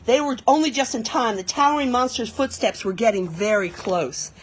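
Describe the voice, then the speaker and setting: A woman reading a children's picture book aloud expressively, pausing to explain things to young listeners. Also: a little high, a little hiss or hum. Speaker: read-aloud storyteller.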